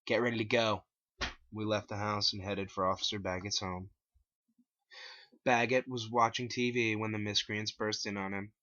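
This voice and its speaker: A man's voice: lowish voice, little pitch movement, normal speed, sharp tone, no room sound, muffled recording. A man reading his own story aloud in a dramatic first-person narration, on a plain home recording.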